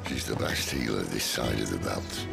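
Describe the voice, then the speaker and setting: A man playing a weathered, wry middle-aged outlaw leader in a video game, speaking in an unhurried, colloquial way with a deep gravelly voice. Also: over loud music, full character voice. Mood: easygoing. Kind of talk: video game cutscene acting.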